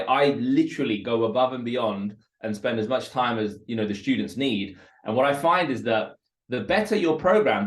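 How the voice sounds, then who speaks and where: A Russian-born man talks with energy about his work. His voice sits in a medium register, and he often repeats a word as he sets up a point. The recording carries a noticeable roomy echo. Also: mid-range voice. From Russia, raised in West London. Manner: energetic, repeats words while building points. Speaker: entrepreneur guest. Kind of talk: casual interview talk.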